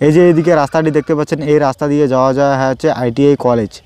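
A man's voice: a little high, fairly even intonation, rapid-fire, warm tone, clean tone, faint music behind. A man narrating a travel vlog in loose, repetitive, improvised phrasing. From West Bengal, India. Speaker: travel vlogger. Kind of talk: travel vlog narration pointing out roads and places.

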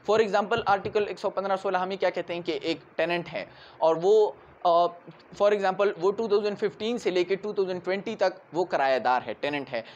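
A man in his twenties with a Pakistani accent, giving an explanatory law lecture in an emphatic way, repeating key points. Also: high-pitched. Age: 20s. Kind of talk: explanatory lecture mixing Urdu and English. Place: small indoor room in front of a whiteboard. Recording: muffled audio with clear background noise.

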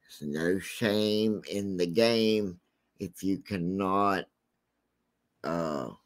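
A man with a deep, scratchy voice hosting a true crime channel, talking casually and rambling with many fillers. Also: very slow.

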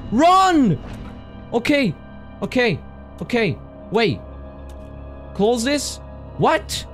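A young man commentates a horror game into a headset mic, his voice high and swinging wildly between yelps and mutters. He gasps and shouts at every scare, and his throat has gone hoarse from it. Faint music runs under his voice. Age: young adult. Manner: jumpy, yelling and gasping at scares. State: hoarse, voice strained from screaming. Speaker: gaming streamer. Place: small room indoors.

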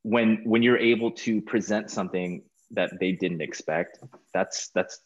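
A middle-aged American man chatting casually as an interview guest over a video call, informal and halting, often repeating words. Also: lowish voice, highs cut off. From California, United States. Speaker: guest, stage performer. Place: small plain room indoors, video call.

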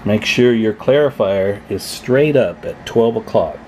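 A man's voice: on the low side, a little slow, darkish tone, slight background noise. A man hosting a casual hands-on tutorial as a radio hobbyist, explaining informally step by step at an indoor workbench. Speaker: radio repair hobbyist, video host.

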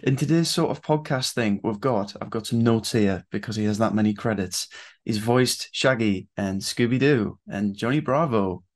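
A man's voice: on the low side, normal speed. A young British man hosting a podcast with casual interview chat. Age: young adult. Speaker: podcast host. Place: small room at home.

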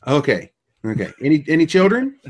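A man's voice: fairly high pitch, wildly swooping pitch, a little fast. A middle-aged man hosting a podcast, chatting casually and joking over a video call from a small home room, trailing off with ums as he goes. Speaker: podcast host. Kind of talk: casual chat. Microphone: webcam on a video call.

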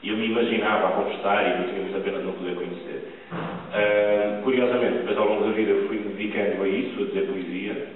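Young male actor, deep voice, earnest, distant tinny theatre sound. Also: fairly even intonation, fast talker, faint music behind. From Porto, Portugal. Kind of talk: talk to a theatre audience. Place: large theatre stage. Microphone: far camera, room sound. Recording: distant, echoey, tinny hall recording.

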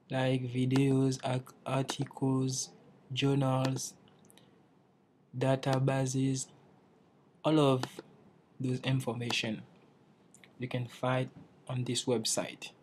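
A man's voice: medium pitch, darkish tone, a little hiss or hum. A young man from Haiti, an English learner, giving a careful class presentation over slides and restarting phrases as he searches for words. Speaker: English language student presenting.

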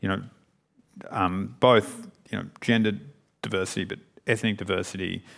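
A man's voice: deep voice, fairly even intonation, normal speed, gravelly, fairly bright tone. A man speaking as a panel guest on an auditorium stage, hesitant with many restarts. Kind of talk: panel discussion.

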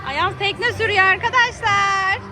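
A woman's voice: very high voice, steady tone, moderate pace. A woman narrating a family vlog for children, calling out sights in short, exclaiming phrases to a child and viewers. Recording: loud background music and crowd outdoors. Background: music and crowd noise at an amusement boat ride.

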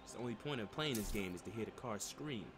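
A man's voice: lowish voice, expressive, fast. A young American man doing casual, rambling let's play commentary in slang for his live viewers. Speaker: live streamer playing a driving game. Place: indoor room at home. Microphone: desk microphone for streaming. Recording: loud game music behind.

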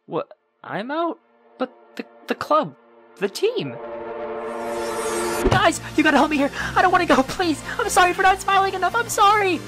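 A woman's voice: very high voice, sing-song, normal speed, long pauses, music in the background. A woman voicing an anxious, apologetic cartoon gem creature with whiny, fretful pleading.

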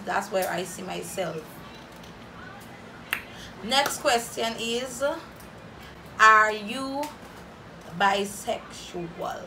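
A woman's voice: medium pitch, noisy background. A woman in her twenties from Saint Lucia, with a Saint Lucian accent, chatting playfully to camera as she answers viewer questions in a small room. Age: 20s. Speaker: vlogger. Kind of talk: casual Q&A to camera. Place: small room indoors, plain wall. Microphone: camera in front, head-and-shoulders framing.

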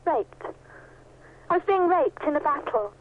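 A woman's voice: sits high, animated, unhurried. A woman chatting casually as a caller to a radio programme. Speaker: phone-in caller. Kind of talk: casual chat. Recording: thin phone line with noise.

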